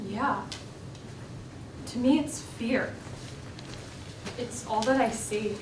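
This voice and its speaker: An actress plays an opinionated woman who argues with earnest, emphatic frustration in a stage drama. Her voice is on the high side and comes from well back in a very echoey, noisy room. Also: drawn-out words. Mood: earnest, insistent. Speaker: stage actor. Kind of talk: stage play dialogue. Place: indoor stage, recorded from afar. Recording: echoey stage recording, distant mic, noisy.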